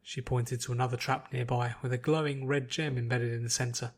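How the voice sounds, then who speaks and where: A man's voice: medium pitch, flat delivery, brisk. Male narrator reading fantasy fiction. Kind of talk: scripted audiobook narration.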